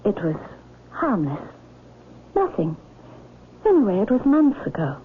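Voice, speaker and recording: An actress playing a proud, composed aristocratic widow in a radio drama, speaking in a formal, poised and imperious manner. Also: female voice, medium pitch, huge pitch swings, a little slow. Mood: guarded, dismissive. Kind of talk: 1970s radio drama acting. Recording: muffled lo-fi old radio broadcast, hiss.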